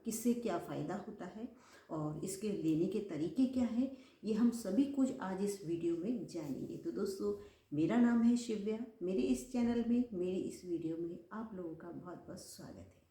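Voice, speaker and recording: A woman's voice: medium pitch, moderate pace, warm tone, reverberant. A woman YouTuber giving home remedy tips in a chatty way, repeating phrases for emphasis and addressing viewers as friends. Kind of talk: casual instructional talk to camera.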